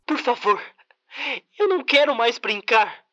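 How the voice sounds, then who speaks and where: A man's voice: very high voice, big pitch swings, unhurried, bright, forceful tone, voice pushed up, exaggerated voice. A man voicing a pleading tunnel-dwelling monster that repeats itself obsessively. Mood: desperate pleading.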